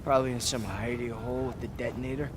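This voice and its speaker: An actor playing a loyal, emotional young soldier in a war game, speaking with intensity and urgency. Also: male voice, medium pitch, fairly even intonation, fast, background noise, close to an everyday voice. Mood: wry, focused. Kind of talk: cinematic war game acting. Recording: dramatic music behind the dialogue.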